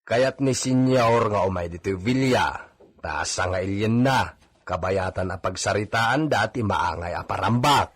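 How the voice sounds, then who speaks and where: A man with an Ilocano accent and husky voice playing a gossipy, matter-of-fact villa servant in a radio drama. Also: fairly low pitch, moderate pace. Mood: confiding.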